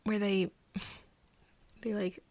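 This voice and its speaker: A woman playing a nervous, tender young friend of a vampire in an ASMR roleplay, speaking softly and hesitantly, often slipping into a whisper. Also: mid-range voice, little pitch movement, dry recording. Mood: curious, nervous. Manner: hesitant, halting, breathy whispering mixed with soft speech. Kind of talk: ASMR roleplay, intimate one-sided dialogue to listener.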